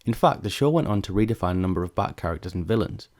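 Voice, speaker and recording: A man's voice: lowish voice, lively intonation, fast talker, a little mellow. A man reading scripted narration as the narrator of a video essay.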